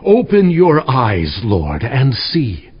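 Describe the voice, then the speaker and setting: A man's voice: mid-range voice, wildly swooping pitch, breathy edge, heavily acted. An actor playing a pious king pleading solemnly in fervent prayer in a dramatized Bible audio production. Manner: slow, solemn, imploring. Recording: dull, band-limited audio.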